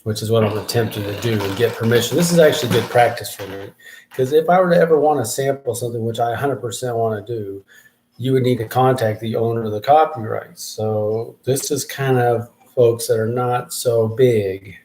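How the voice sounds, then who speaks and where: A man talks through a casual solo update on his own show at a middling pitch. He thinks aloud as he goes, rambling and restarting his sentences. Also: a little fast, a little mellow, rough recording. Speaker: podcast host and rap artist.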